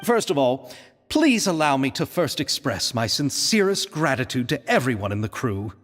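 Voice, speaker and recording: A man's voice: medium pitch, sing-song, moderate pace, in a large hall, faint music behind, natural voice. An actor playing an earnest, polite and grateful male film producer in a video game, speaking formally.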